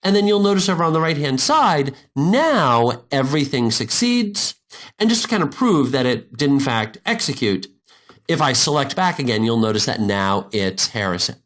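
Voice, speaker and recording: A man narrating a software tutorial step by step, in an informal, explanatory manner. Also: medium pitch, big pitch swings, normal speed. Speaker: instructor.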